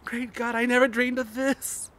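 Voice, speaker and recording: A man voicing a doomed, terrified man in a horror reading, with a hoarse, quivering voice and a desperate, urgent delivery. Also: extremely high pitch, flat delivery, moderate pace, a bit bright, pitched above the performer's own voice. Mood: frantic warning. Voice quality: hoarse, breathy, quivering, often whispering or screaming. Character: doomed adult man, terrified yet self-sacrificing, calling from below.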